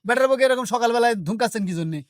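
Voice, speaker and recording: A woman's voice: fairly high pitch, expressive, racing, dry recording, studio quality, natural voice. A woman playing a reasonable village neighbour who questions and advises, with a Bangladeshi accent, in a domestic drama. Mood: questioning. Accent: Bangladeshi Bengali. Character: meddling, mediating village neighbour, reasonable and admonishing.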